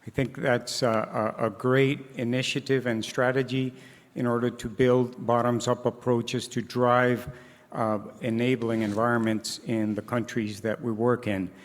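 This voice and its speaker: A man's voice: mid-range voice, level tone. Courteous man in a reverberant hall. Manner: courteous, slightly halting. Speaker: audience member asking a question. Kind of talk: question from the floor. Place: large conference hall.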